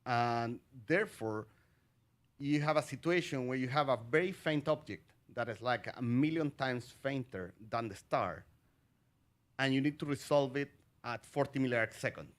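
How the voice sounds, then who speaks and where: A middle-aged man from Chile, an astronomer on a panel, talking in a halting way with many fillers and restarts. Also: mid-range voice. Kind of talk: conversational panel talk.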